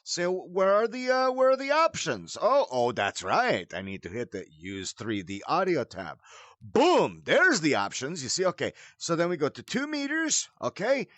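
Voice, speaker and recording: A man's voice: on the high side, huge pitch swings, normal speed, fairly bright tone, no room sound. A man hosting a casual game tutorial in a theatrical way, mixing mock-formal wording with folksy slang. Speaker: tutorial host. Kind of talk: casual spoken game tutorial with showy greeting.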